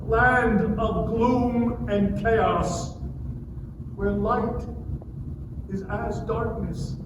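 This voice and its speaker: A man playing an anguished, defiant man pleading against God, declaiming with drawn-out phrases in a dramatic scripture reading. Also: sits high, fairly even intonation. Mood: desolate. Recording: distant, very echoey, noisy live room.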